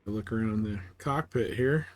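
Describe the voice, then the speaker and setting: A man's voice: lowish voice, unhurried. Man casually commentating on his game stream. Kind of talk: casual live gameplay commentary.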